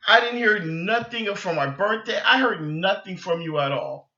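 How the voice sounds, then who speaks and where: Male sports podcast host, high-pitched and exasperated, halting unscripted delivery, roomy echo. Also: animated, pushed and bright. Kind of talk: unscripted sports fan rant. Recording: dull lo-fi sound, echoey room.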